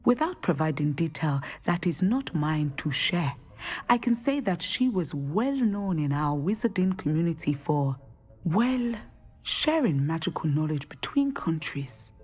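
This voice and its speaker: An actress playing a wise, dignified Ugandan divination professor, formal and deliberate with dry amusement, in game dialogue. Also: female voice, low-pitched, sing-song, a little mellow, slightly rough, soft background music, heavily acted. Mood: dignified, gently amused.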